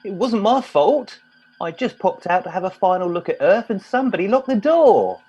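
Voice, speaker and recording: A man's voice: sits high, big pitch swings, normal speed, warm tone, breathy edge, soft background music, exaggerated voice. A man playing a naive, literal-minded young pilot in a comic radio play. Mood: defensive. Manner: boyish, literal, defensive. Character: naive, whiny young lad piloting a spaceship. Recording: dull lo-fi recording.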